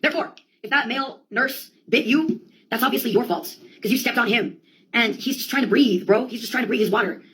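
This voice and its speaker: A woman's voice: fairly high pitch, fairly quick, a bit bright, rough recording. A woman telling a rambling comic monologue in a theatrical, slangy, sweary way. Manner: sweary, theatrical, slangy delivery with quoted outbursts.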